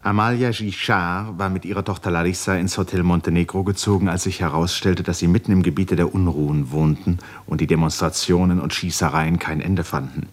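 A man narrating a literary radio drama in a measured, articulate storytelling manner. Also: low voice, fairly even intonation.

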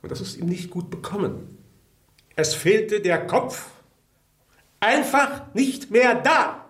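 An actor in a radio drama playing a suave, cynical Tsarist police chief, urbane, mocking and insinuating. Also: male voice, sits high, expressive, slow pace, fairly bright tone, echoey room, heavily acted. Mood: needling.

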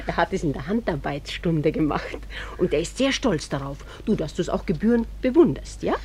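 A woman playing an affectionate, busy small-town mother in a radio drama, brisk and motherly. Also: on the low side, expressive, darkish tone, slight background noise, natural voice. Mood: fond, busy.

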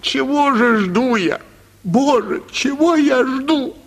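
An actor playing a histrionic, self-pitying theatre impresario in a radio play, declaiming and wailing in a raspy, creaky voice. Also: male voice, extremely high pitch, expressive, takes it slowly, a bit bright, full character voice. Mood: tragic self-pity. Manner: declamatory, wailing, breast-beating exclamations. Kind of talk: Russian radio play acting of a classic story.

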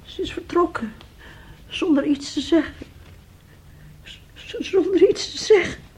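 An actress in a radio drama playing a distraught, tearful mother abandoned by her daughter, speaking haltingly and repeating her words. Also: very high voice, warm tone. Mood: hurt, tearful.

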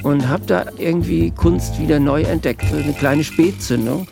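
A composer recalls and explains his craft in an unhurried interview. His voice is heavily gravelly, and his sentences wander and restart as he searches for words. Music plays clearly behind him. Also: fairly high pitch. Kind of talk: casual reminiscing interview.

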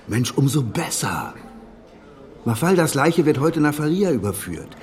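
A gruff, middle-aged detective boss in a German radio crime drama. He is wry and brusque, talking in loose colloquial jabs, with faint music behind him. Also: a little high, very animated, measured pace. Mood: wry, bossy. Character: gruff, sardonic male police chief inspector. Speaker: police detective.

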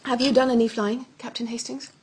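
An actress playing a quiet, earnest and slightly nervous young woman with an English accent in a radio mystery drama. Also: on the high side, level tone, a little fast, slight background noise, close to an everyday voice. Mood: polite, stoic.

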